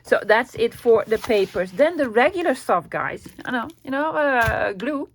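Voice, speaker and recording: A woman's voice: fairly high pitch, expressive, a little slow, slight background noise. A woman from the Netherlands with a Dutch accent, chatting casually at her craft desk as host of her channel, rambling with many ums and asides. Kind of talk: casual craft tutorial chat.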